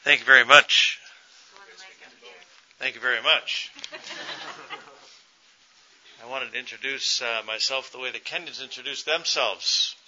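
A man, a family doctor, introducing the next speaker at a conference in a relaxed way with many fillers. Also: medium pitch, a little slow, pauses often. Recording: dull lo-fi sound.

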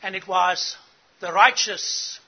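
A man's voice: sits high, steady tone, slow, breathy edge, lo-fi sound. A middle-aged man from Africa, giving an earnest teaching talk to church leaders and putting questions to the audience. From South Africa. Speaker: conference speaker.

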